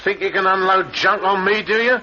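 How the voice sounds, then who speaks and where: A sneering gang boss in a vintage radio crime play. His voice is sharp and bright, a little high for a man, and every line drips with threat. The recording is dull and lo-fi with faint hiss. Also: a little fast. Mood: menacing. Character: menacing male gang boss with a razor. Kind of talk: 1950s radio drama acting.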